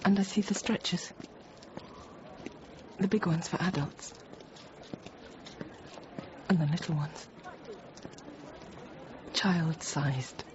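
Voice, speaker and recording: An actress playing a thirty-year-old Parisian teacher, recalling an ordeal in measured, halting, controlled radio drama narration. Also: female voice, on the low side. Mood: dread, subdued. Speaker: lead actor and narrator. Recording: dull, noisy radio drama recording.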